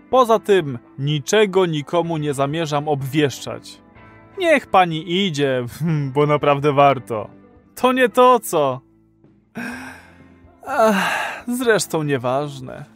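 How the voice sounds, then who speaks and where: An actor playing an earnest, rebellious citizen of a dystopian future in a radio play. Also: male voice, on the high side, animated, takes it slowly, pauses often, a bit bright, soft background music. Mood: guarded. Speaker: actor in an audio drama.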